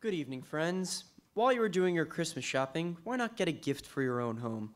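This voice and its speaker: A man's voice: a little high, sing-song, brisk. A man acting a friendly heating expert delivering a formal, persuasive old-time radio commercial. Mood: cordial, persuasive. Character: friendly male heating expert pitching a product.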